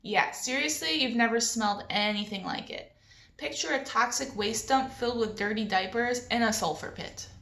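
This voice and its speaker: Woman playing a boy inventor, wordy, earnest, know-it-all, higher voice, storybook reading, echoey room. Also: normal speed, creaky, a bit bright. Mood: eager.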